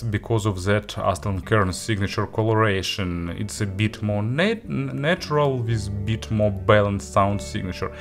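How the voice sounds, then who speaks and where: A man who speaks English as a second language gives an unscripted product review as an audio gear reviewer, with halting, hesitant phrasing. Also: fairly low pitch. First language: not English.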